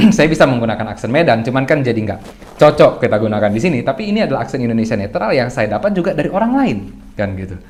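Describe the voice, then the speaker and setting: A young man sitting in as a guest on a casual talk show, with a voice neither high nor low. He works through his points in a reflective, explanatory way. He restarts phrases, poses his own questions and keeps clearing his throat between thoughts. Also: very animated, fast, a little mellow, a little hiss or hum. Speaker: guest speaker. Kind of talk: casual podcast discussion.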